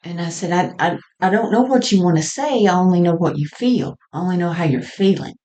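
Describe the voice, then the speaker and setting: A woman telling personal stories in a halting, conversational way with frequent small chuckles as she reminisces. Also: lowish voice, mellow, lo-fi sound. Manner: halting and conversational, often chuckling mid-sentence. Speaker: storyteller sharing personal experience.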